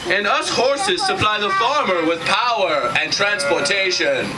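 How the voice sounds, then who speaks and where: A man's voice: high voice, lively intonation, unhurried. A man voicing a patient, wise horse who explains things in an amateur puppet show. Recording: distant mic, noisy outdoor farm.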